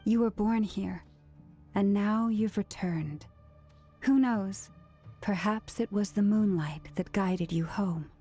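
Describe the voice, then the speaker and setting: An actress playing a gentle, earnest young woman who leads a moon faith, with a measured, solemn delivery in a video game. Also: mid-range voice, slightly rough, faint music behind. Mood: earnest, tender.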